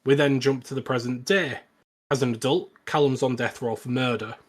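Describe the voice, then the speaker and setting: Male podcast co-host, average pitch, informal and opinionated, conversational film-review delivery. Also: fairly even intonation, moderate pace. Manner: opinionated, sweary. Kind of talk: casual film discussion and recap.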